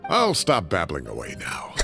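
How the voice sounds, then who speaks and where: Low, very animated old professor. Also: male voice, normal speed, vocal fry, faint music behind, full character voice. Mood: jovial. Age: older. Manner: chatty, avuncular, playfully proud. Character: jovial elderly male professor who tends tomatoes. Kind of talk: anime video game acting.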